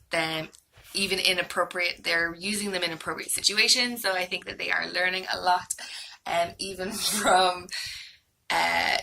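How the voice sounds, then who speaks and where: A woman's voice: fairly low pitch, creaky, bright, forceful tone, a little hiss or hum, poor audio. An Irish woman in her thirties giving a casual, hesitant, rambling review, like a homeschooling mom vlogging.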